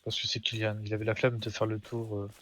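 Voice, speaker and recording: A young man with a slightly husky voice, bantering in slang and teasing his friends during a game. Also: lowish voice, monotone, quick pace, a bit bright. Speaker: gamer playing with friends. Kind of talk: casual banter during gameplay.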